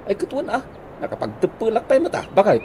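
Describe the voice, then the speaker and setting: A well-meaning pal played by a man with a highish, very lively voice. He talks haltingly and chattily in radio comedy style, with faint noise behind him. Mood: grumbling. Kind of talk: comedy radio drama acting in Ilocano.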